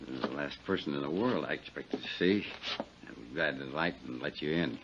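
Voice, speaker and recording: A meek, uneasy, tipsy fellow in an old radio drama. His very deep voice mumbles softly on a dull recording with clear background noise. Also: normal speed. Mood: uneasy, resigned. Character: uneasy, subdued, tipsy insurance man caught out. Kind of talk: 1950s radio drama acting.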